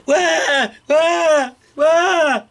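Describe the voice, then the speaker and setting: Screaming baby girl from a storybook, super high loud drawn out wails, wont settle. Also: gravelly, slight background noise, exaggerated voice. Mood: wailing. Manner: loud drawn-out wailing cries.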